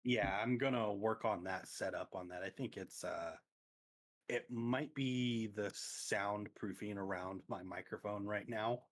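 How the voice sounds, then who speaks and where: Man, lowish voice, rambling casual chat, quiet in the mix. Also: measured pace. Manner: rambling, self-correcting talk with volume that swings with excitement. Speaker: podcast co-host.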